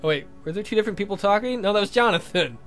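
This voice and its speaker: A woman's voice: medium pitch, animated, very fast, faint music behind. A woman hosting a let's play, reading a visual novel aloud with irreverent, sweary casual asides.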